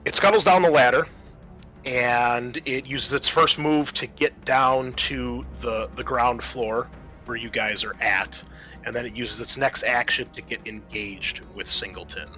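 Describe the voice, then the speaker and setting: A man's voice: medium pitch, steady tone, measured pace, sharp tone. A man narrating a tabletop roleplaying game as game master, improvising descriptions and explaining the rules, with music behind him.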